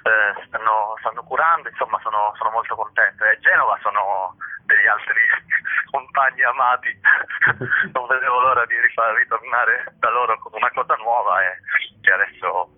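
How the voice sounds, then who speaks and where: A man's voice: medium pitch, normal speed. A man being interviewed as a stage actor, chatting casually in a hesitant, self-deprecating way over a phone. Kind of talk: casual interview talk about own work. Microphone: telephone. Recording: muffled narrow-band phone line.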